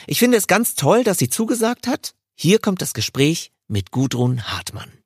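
A man's voice: sits high, big pitch swings, moderate pace, breathy edge. A man hosting an interview podcast in a conversational, self-deprecating manner.

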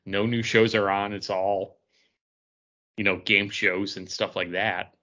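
Bright, forceful low-pitched man, lo-fi sound. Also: little pitch movement, a little slow. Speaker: podcast co-host. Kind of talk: casual chat about entertainment news. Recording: dull lo-fi sound.

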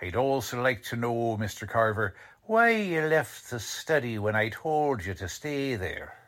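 A man playing a shrewd, suspicious investigator in a 1940s-style radio drama, questioning deliberately in colloquial, ungrammatical speech. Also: mid-range voice, big pitch swings, fairly bright tone. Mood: suspicious, probing. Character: shrewd streetwise male investigator, quietly suspicious.

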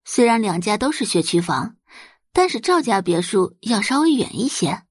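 Animated woman narrating an audiobook. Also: mid-range voice, normal speed, breathy edge, no echo, studio quality. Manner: expressive storytelling. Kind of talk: dramatized scripted narration of a web novel.

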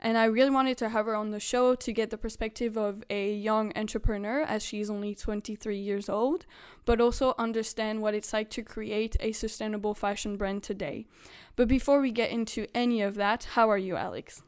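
A woman's voice: mid-range voice, steady tone, moderate pace, clean tone. A woman hosting a podcast and asking questions in a conversational interview style.

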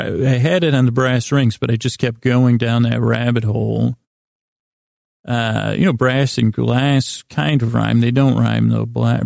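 Guy doing a sleepy-time podcast, super gravelly fry, dry close sound, meanders and trips over words, soothing and a bit silly. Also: mid-range voice, a little mellow, dry recording. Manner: meandering, halting, stumbling; soothing, lulling, mildly silly. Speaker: podcast host. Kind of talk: rambling bedtime monologue.

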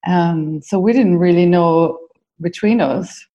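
A woman's voice: on the low side, takes it slowly, mellow, muffled recording. An Irish woman in her forties, a podcast guest, answering questions with frequent ums and restarts. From Ireland. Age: 40s. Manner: hesitant, many ums and restarts. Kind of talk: casual interview answers.

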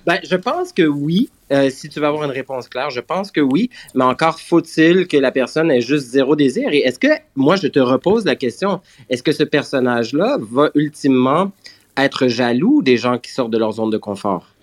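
A man from Canada with a Quebec French accent, speaking as a guest in a panel discussion in a measured, explanatory manner. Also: medium pitch, animated.

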